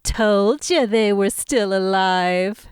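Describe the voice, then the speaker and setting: A confident, flirty bat spy voiced by a woman for a fan audio drama. Her voice is very smooth and unhurried, a touch high, with a dry close sound. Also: dry recording, natural voice.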